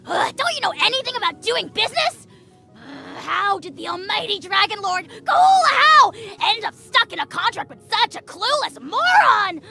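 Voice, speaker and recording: Tiny arrogant dragon lord, very high-pitched, swooping intonation, pompous, quick to rage, cartoonish video game creature. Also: female voice, sing-song, bright, forceful tone, soft background music, heavily acted. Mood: scornful, smug. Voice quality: shrill, creaky, cartoonish. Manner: pompous, haughty, theatrical, insulting. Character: tiny arrogant dragon lord, bombastic, spiteful, easily enraged. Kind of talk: video game character voice acting.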